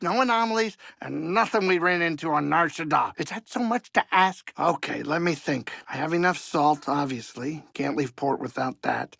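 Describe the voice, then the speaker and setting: A fussy middle-aged alien starship pilot from a video game. His voice sits a bit high for a male, bright and full of gravel, recorded dry and close. He grumbles and frets in a folksy, good-hearted way. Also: dry recording, exaggerated voice. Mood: weary grumbling, then fretful. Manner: folksy, fretful, grumbling, chatty. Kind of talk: video game character acting.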